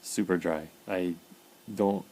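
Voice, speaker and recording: A man talking casually in a personal video diary, halting with fillers and repeated words, his mouth dry. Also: sits low, steady tone, mellow, rough recording. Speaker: vlogger documenting his illness.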